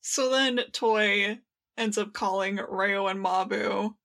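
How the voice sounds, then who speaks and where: A woman's voice: mid-range voice, fairly even intonation, slow pace, creaky, fairly bright tone. A woman co-hosting a podcast, talking in a playful, informal and emphatic way in a casual fan chat. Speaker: podcast co-host.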